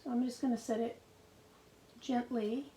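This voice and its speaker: A middle-aged woman with a gravelly voice, chatting and thinking aloud through a live card-making demo at her craft desk. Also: a little high, fairly even intonation, moderate pace, mellow, a little hiss or hum.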